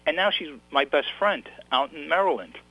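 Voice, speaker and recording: A man's voice: fairly high pitch, animated, normal speed, pushed and bright, low-quality recording. A man with a New York accent, an author telling his story in a casual interview, rambling and restarting his sentences. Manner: rambling, repeats words and restarts.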